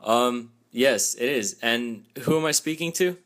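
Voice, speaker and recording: A man's voice: mid-range voice, a little slow, noisy background, close to an everyday voice. A young man playing a polite young man who stammers when caught off guard, in a student radio play. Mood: flustered. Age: young adult.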